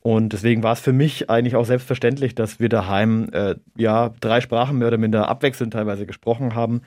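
A man in his thirties, a native Arabic speaker from Germany, answering interview questions in a measured, reflective way with an occasional chuckle. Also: mid-range voice, steady tone, a little mellow, no room sound, clean studio sound. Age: 30s. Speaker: interview guest, local politician.

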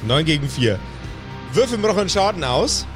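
A man's voice: on the high side, very animated, brisk, over music. A man improvising narration as game master of a tabletop game, addressing his players with playful formality. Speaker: game master of a pen-and-paper podcast.

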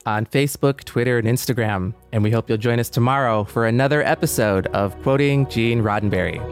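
A man's voice: mid-range voice, a little fast, faint music behind. A middle-aged man co-hosting a podcast, speaking in an earnest, thoughtful way with hesitant, searching phrasing. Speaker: podcast co-host. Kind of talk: reflective podcast conversation.

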